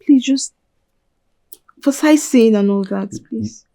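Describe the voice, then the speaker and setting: An actress playing a wife gently coaxing her husband into favors. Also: a little high, slow pace, creaky, no room sound.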